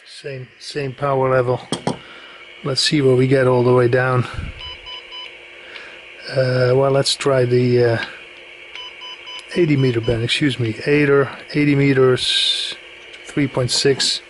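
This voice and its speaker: A man giving a casual, unscripted hands-on equipment demonstration, halting and matter-of-fact as he goes. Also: mid-range voice, flat delivery. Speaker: radio hobbyist demonstrating equipment. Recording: faint background noise.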